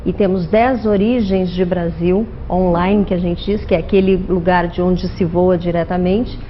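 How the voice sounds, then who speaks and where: A woman's voice: on the low side, fairly even intonation, moderate pace, a little hiss or hum. Businesslike woman airline manager. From Portugal. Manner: fluent, businesslike, with filler "ah". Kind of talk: TV interview guest. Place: TV studio. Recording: dull TV audio.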